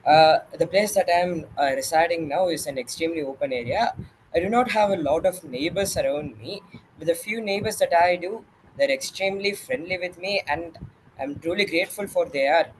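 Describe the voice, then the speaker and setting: A teenage boy with an Indian English accent and a Tamil mother tongue answers exam-style questions. He phrases things formally and carefully, with a few hesitations. His voice is a touch high, with faint noise behind it. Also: moderate pace, poor audio. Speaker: student.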